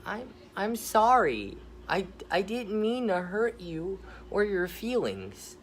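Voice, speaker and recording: A man's voice: high voice, sing-song, slow pace, fairly bright tone, a little hiss or hum, pitched above the performer's own voice, exaggerated voice. A man voicing a kind young male gator who stammers and apologizes in a storybook reading. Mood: apologetic.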